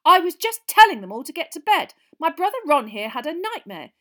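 A woman's voice: high voice, wildly swooping pitch, normal speed, pushed and bright, pitched above the performer's own voice, full character voice. A woman voicing a pompous, self-important older teenage schoolboy in a storybook, officious and indignant.

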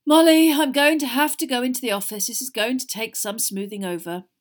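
Fantasy storybook father, middle-aged, woman reader acting, weary, measured, exasperated. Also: fairly high pitch, steady tone, pitched above the performer's own voice, lightly acted.

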